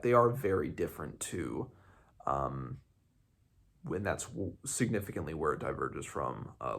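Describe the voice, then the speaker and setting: Male read-along host, very deep register with heavy vocal fry, casual and halting delivery. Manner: halting, searching for words, self-correcting. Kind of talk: casual book discussion with some read-aloud passages.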